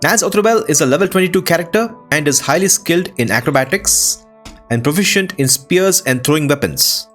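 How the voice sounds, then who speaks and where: A man's voice: a little high, expressive, a little fast, fairly bright tone. A man narrating a fantasy game playthrough in a scripted, storytelling style. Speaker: gaming video narrator. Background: music.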